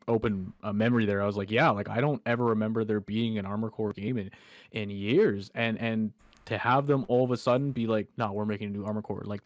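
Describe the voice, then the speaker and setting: A man co-hosting a casual gaming podcast, relaxed and enthusiastic as he chats. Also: lowish voice, no echo, clean studio sound. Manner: relaxed, enthusiastic about games. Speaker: podcast co-host.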